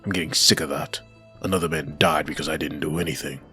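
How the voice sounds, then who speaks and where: A man's voice: very deep voice, steady tone, quick pace, bright, forceful tone, soft background music. A man playing a grim, guilt-ridden undead antihero in a comic dub. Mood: weary guilt. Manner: grim, brooding.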